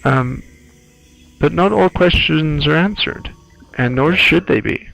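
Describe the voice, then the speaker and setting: A man giving casual, rambling playthrough commentary in an informal, halting, musing way. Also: fairly high pitch, gravelly, a bit bright, faint music behind, rough recording. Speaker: screenwriter doing game playthrough commentary.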